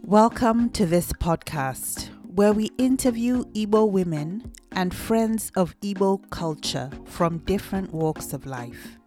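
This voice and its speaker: A woman's voice: medium pitch, expressive, takes it slowly, hardly any pauses, over music. A woman hosting a podcast interview, reading a formal introduction and then asking questions in a chatty way. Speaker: podcast host.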